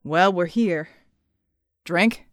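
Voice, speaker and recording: A woman voicing a gruff, irritable hard-drinking man with a slangy, slightly tipsy drawl in an audiobook story. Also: lowish voice, drawn-out words, no room sound, clean studio sound, pitched below the performer's own voice. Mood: grudging, curt.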